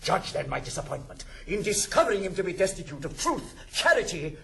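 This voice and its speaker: An actor playing a blunt, sardonic old uncle in a 1960s recording of a classical stage comedy, with a British accent and declamatory delivery. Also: male voice, high voice, big pitch swings, brisk, creaky, a bit bright, exaggerated voice. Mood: indignant. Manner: declamatory, theatrical. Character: blunt wealthy old uncle, male, sardonic yet generous. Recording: 1960s studio record, faint hiss.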